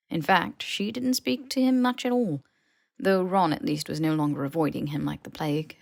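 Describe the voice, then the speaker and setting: Woman narrating an audiobook, lowish voice w some fry, wry storyteller, really dry close sound. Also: no echo. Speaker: podfic narrator.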